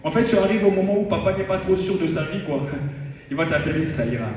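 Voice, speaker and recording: Congolese comedian in an echoey hall. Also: male voice, sits high, quick pace, background noise. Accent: Congolese French. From Republic of the Congo. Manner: playful, teasing the audience, mimics voices. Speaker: stand-up comedian. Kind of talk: live stand-up routine. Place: large hall on stage. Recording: echoey hall mic from far off.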